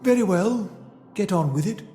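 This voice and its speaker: A man's voice: sits high, lively intonation, moderate pace, dark tone, roomy sound. A man voicing a blunt, pious older bishop in a video game, haughty and curt in manner. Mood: curt, suspicious. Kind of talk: scripted video game dialogue acting. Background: music.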